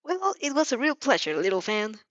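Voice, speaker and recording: A woman voicing a loud, excitable adult male hero from a fan fiction story, exclamatory and theatrical. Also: fairly high pitch, brisk, no echo, dull audio, pitched above the performer's own voice. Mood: cheerful.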